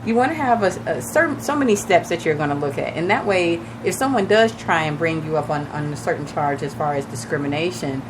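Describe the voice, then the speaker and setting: A woman with a fairly low, raspy voice that still sounds a bit shaky as she recovers from losing it. She talks casually to listeners on a radio show, giving advice with plenty of fillers. The broadcast has a clear layer of noise behind her. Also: lively intonation, brisk, hardly any pauses. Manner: chatty, advising listeners directly with many fillers. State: recovering from lost voice, a little shaky. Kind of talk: casual radio talk on real estate. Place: radio studio.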